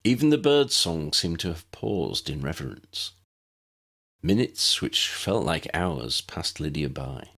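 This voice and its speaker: A man's voice: sits low, big pitch swings, slow, vocal fry, a bit bright. A man reading scripted story narration as an audiobook narrator.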